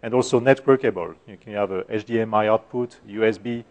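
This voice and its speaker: A middle-aged man with a French accent and a soft voice, giving a technical conference talk with many fillers and restarts. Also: lowish voice, brisk, muffled recording. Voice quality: soft-spoken. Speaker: conference speaker. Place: conference room.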